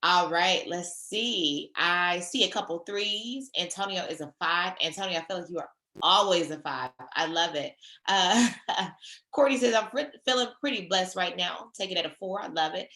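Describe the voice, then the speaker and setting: A woman trainer leading a virtual session on a video call, her voice a little hoarse from a sore throat, speaking in an encouraging, conversational way. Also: on the low side, normal speed, pushed and bright, reverberant.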